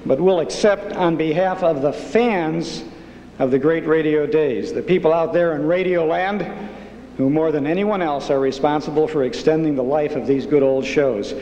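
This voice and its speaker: A man's voice: a little high, moderate pace, dark tone. Humble man speaking in an echoey hall. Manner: humble, earnest. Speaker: inductee giving acceptance speech. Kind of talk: formal acceptance speech. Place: ceremony hall stage. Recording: echoey hall, faint noise.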